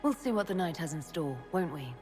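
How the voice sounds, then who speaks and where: A woman playing a composed, wary leader in a fantasy game, speaking in a measured, dryly wry way. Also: fairly low pitch, lively intonation, natural voice. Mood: wry, guarded. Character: composed, wary female Inquisitor leading against demons. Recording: long hall reverb, music behind.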